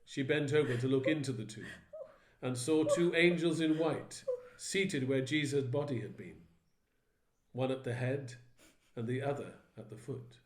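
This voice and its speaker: A man, a vicar, reading a Bible story aloud in a steady, measured way. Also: mid-range voice, slight background noise. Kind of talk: dramatised Bible reading narration. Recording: roomy echo.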